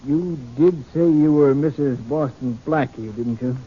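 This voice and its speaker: Actor as a wary, higher-voiced male politician. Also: unhurried, slight background noise, lightly acted. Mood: wary, sarcastic. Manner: hesitant, guarded. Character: wary male politician being squeezed, polite but indignant. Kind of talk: 1940s radio acting. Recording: muffled old 1940s radio recording.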